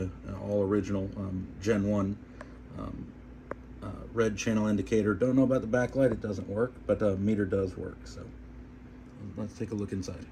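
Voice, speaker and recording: A man giving a casual, unscripted hands-on video demonstration, plain-spoken and hesitant, in short trailing phrases. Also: on the low side. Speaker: hobbyist presenter of a radio repair video. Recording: noticeable background hum and noise.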